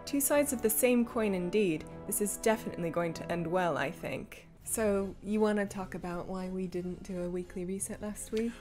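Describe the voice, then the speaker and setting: A woman co-hosting a gaming news show, giving casual, playful commentary with teasing, deadpan asides. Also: mid-range voice, moderate pace, few pauses, over music.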